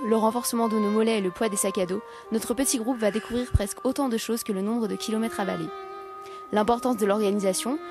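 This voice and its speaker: A teenage girl reading a scripted narration for a summer camp film in an earnest manner. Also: mid-range voice. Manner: earnest, reading a written text. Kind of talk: scripted narration read aloud. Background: music.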